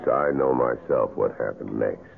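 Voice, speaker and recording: An actor playing a man calmly recounting what he witnessed, in a 1970s radio drama, gravelly and measured. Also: very deep voice, faint music behind, exaggerated voice. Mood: matter-of-fact. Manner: measured storytelling. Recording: muffled old radio recording.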